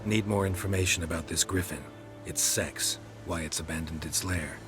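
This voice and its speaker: A man playing a gruff, dry-witted monster hunter for hire in a video game, terse and deadpan. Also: sits low, moderate pace, music in the background, close to an everyday voice. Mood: matter-of-fact. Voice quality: gravelly. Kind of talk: understated video game acting.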